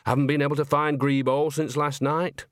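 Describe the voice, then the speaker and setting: A man's voice: on the high side, flat delivery, moderate pace, dry recording, clean studio sound, voice pushed up, close to an everyday voice. A man voicing a chatty, homely, cheeky old woman witch in an audiobook, folksy and rambling. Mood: chatty, sly. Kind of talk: audiobook character acting.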